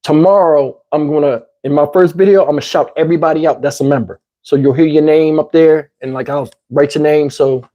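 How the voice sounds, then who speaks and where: Man, southern us accent, livestream host, rambling and conversational, tired parched voice, fairly high pitch. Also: fairly even intonation, brisk, hardly any pauses, warm tone. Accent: Southern US English. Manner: rambling, conversational, asks "you know what I'm saying". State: voice getting tired, throat parched. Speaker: YouTube commentary host. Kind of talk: casual livestream talk to viewers.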